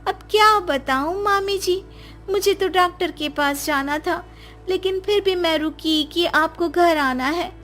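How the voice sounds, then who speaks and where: A woman voicing a shrill, sly young daughter-in-law. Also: unhurried, fairly bright tone, faint music behind. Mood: sweetly sly. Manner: shrill, whining, fake sobbing.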